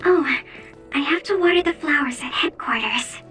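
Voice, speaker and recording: High-voiced reserved young soldier. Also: female voice, normal speed, fairly bright tone, distant mic, faint music behind. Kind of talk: video game cutscene acting.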